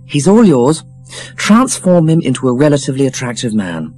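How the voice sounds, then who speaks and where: A man's voice: medium pitch, very animated, moderate pace, darkish tone, soft background music, pitched above the performer's own voice, natural voice. A man voicing a snobbish, scathing young woman, blunt and emphatic, for an audiobook. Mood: coolly cutting. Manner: sneering, emphatic, cutting. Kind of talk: audiobook character voice.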